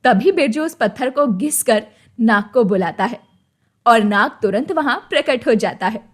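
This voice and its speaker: A female narrator reads a scripted moral story. Her voice sits somewhat high. She delivers it as storytelling narration. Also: lively intonation, measured pace.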